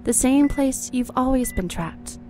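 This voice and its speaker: A woman's voice: high-pitched, expressive, measured pace, dark tone, slight background noise, poor audio. A woman playing a sardonic dead wife in a nightmare, speaking cryptically and mockingly in an audio drama. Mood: urgent, cryptic.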